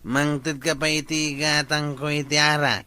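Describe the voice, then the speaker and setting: Brash, bright-voiced Ilocano bully watchman, acted by a man. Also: a little high, flat delivery, normal speed, clear, resonant tone, no room sound. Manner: brash, bossy. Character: swaggering bully, village watchman abusing his uncle's position. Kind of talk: Ilocano comedy drama dialogue.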